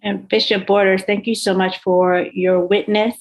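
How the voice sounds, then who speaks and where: A woman's voice: medium pitch, little pitch movement, moderate pace. A woman speaking earnestly and reflectively as a panelist in a discussion.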